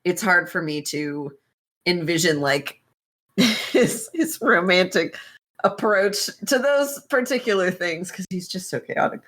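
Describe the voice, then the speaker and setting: A woman's voice: fairly low pitch, animated, slow, fairly bright tone. A woman chatting casually on a fan podcast, swearing freely and joking with emphasis. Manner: profane, jokey, emphatic. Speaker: podcast panelist.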